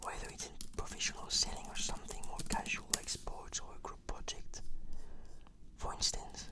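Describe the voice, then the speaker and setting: Whispering woman in an ASMR roleplay. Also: normal speed. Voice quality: breathy whisper. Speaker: therapy client in roleplay. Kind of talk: whispered ASMR, scripted answers.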